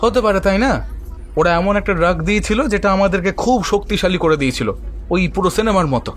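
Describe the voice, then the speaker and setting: Man acting high-voiced, scoffing young schemer. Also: fast talker, natural voice. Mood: sarcastic. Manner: colloquial, questioning, scoffing. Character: young local man, scheming ringleader, puzzled and sarcastic. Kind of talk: audio horror story acting. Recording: faint music behind the voice. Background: faint music.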